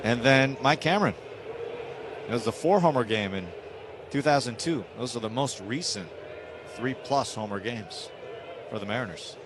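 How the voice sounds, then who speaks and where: A man's voice: medium pitch, expressive, moderate pace, pauses often. A man doing live baseball play-by-play on the radio in short, clipped phrases. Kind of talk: live radio sports commentary. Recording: noisy live ballpark radio broadcast. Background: outdoor stadium ambience.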